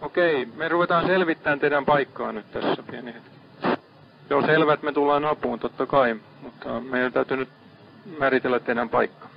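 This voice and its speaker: Calm man answering on marine radio. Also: medium pitch, creaky, slight background noise. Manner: calm and businesslike, repeats back figures. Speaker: ship radio operator. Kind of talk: radio procedure talk. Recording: muffled archive marine radio.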